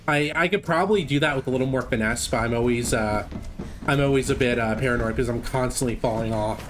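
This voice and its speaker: A man giving casual live speedrun commentary, haltingly thinking aloud as he plays. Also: mid-range voice, noisy background. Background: game music.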